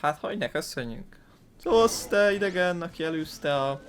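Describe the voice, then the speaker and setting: A man's voice: on the high side, animated, normal speed, soft background music. A man giving casual, slangy playthrough commentary as a gaming YouTuber, in an offhand way.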